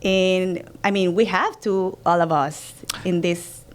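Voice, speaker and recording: A woman's voice: lowish voice, fairly even intonation, slow pace. A woman hosting a radio show, asking questions and chatting casually with her guests. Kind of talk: casual conversational interviewing.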